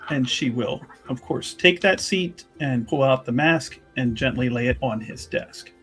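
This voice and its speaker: A man's voice: medium pitch, measured pace, fairly bright tone, soft background music. A man casually narrating his character's actions as a player in a tabletop roleplaying game.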